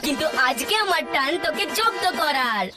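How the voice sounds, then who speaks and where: A bratty young child from a cartoon, gloating and taunting. The voice sits extremely high and jumps around with exaggerated delivery. Music is loud behind it. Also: female voice, expressive, fairly quick. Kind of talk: animated cartoon comedy dub.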